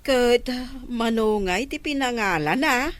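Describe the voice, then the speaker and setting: A woman's voice: fairly high pitch, expressive, takes it slowly. A woman playing a curious, easily impressed village neighbour in a radio comedy drama, full of exclamations. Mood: surprised.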